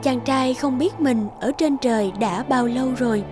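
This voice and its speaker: A woman reading scripted narration for an animated fairy tale. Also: high voice, moderate pace. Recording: music behind the voice.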